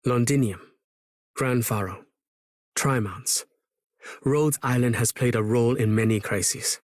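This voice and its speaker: A man's voice: mid-range voice, steady tone, a little slow, no echo, clean studio sound, lightly acted. A man voicing a composed, formal official in a video game. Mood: composed, approving. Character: composed, formal man allied with a government regulator. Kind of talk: game character voice acting, anniversary message.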